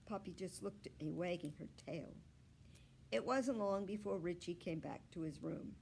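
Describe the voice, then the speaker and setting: A woman storyteller reading a children's book aloud with expressive, deliberate narration. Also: fairly low pitch, creaky, slight background noise.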